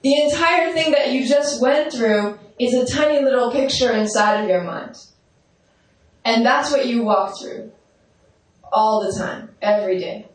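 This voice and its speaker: A woman with a strong, carrying voice leads a meditation teaching, walking listeners through visualizations and checking in with them as she goes. She speaks without a microphone, so her voice reaches the recorder from a distance. A long, booming echo from the large hall surrounds every word. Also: unhurried. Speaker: spiritual teacher. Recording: roomy hall echo, voice off-mic.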